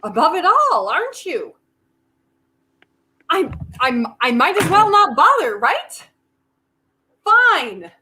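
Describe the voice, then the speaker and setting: A woman acting in a staged play reading as a desperate, quick-witted, volatile woman who argues in a halting, emphatic way, thinking aloud. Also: extremely high pitch, very animated, bright, forceful tone, heavily acted. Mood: exasperated, angry.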